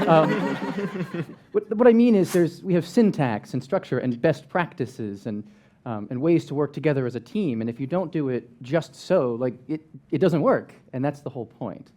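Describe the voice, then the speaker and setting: Man, educator, mellow dark voice, wry, joking, thinks aloud. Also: medium pitch, animated, moderate pace. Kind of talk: panel discussion.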